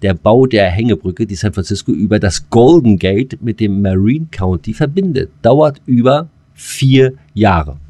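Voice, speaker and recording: A man co-hosts a loose, unscripted podcast. He talks colloquially, in a halting way, often correcting himself. The sound is dry, with little room in it. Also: on the low side, animated, mellow, no echo. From northern Germany. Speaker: podcast co-host. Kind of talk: casual unscripted podcast banter.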